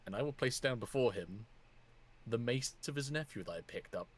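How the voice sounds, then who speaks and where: A man's voice: mid-range voice, lively intonation, normal speed, a little hiss or hum. A man playing a tabletop role-playing game, talking casually and speaking for his character.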